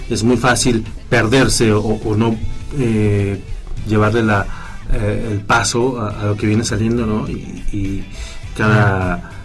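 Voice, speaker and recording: A man from southeast Mexico with a Mexican accent, a relaxed, joking radio guest with many fillers and restarts. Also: lowish voice. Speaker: guest, tech podcaster. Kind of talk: radio interview.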